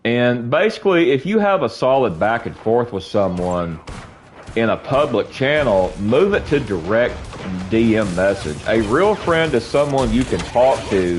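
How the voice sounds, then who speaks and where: A young man rants casually to his stream chat while he plays, sniffling from allergies. He rambles and repeats himself, throwing in bro again and again, and his pitch swings widely. Faint game music sits under his voice. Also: big pitch swings, measured pace. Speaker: streamer talking while gaming.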